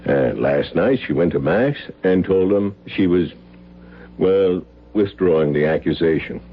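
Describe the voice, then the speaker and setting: Wstrząśnięty mężczyzna o niskim, chropawym głosie. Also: male voice, deep voice, expressive, unhurried, husky, exaggerated voice. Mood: agitated, suspicious. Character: shaken, suspicious friend of a dead man. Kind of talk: old-time radio drama acting. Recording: dull old radio recording.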